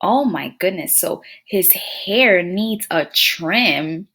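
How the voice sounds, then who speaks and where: Animated woman reading aloud to children. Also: lowish voice, slow pace. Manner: expressive, addresses listeners as friends and scholars, checking in with questions. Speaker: teacher reading a picture book aloud. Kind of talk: children's read-aloud with questions to young listeners.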